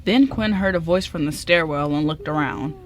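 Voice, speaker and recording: A woman's voice: deep voice, normal speed, slight background noise. A woman reading scripted story narration as the narrator of a radio drama.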